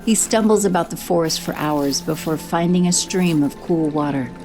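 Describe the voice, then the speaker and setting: A woman giving steady scripted narration for an audio drama. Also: deep voice, normal speed, faint music behind. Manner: steady, descriptive.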